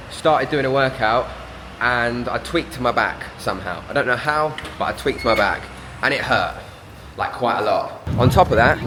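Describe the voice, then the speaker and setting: A man's voice: mid-range voice, background noise. A man, chatting casually in a vlog, a bit congested from a sore throat, upbeat and rambling. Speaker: vlogger.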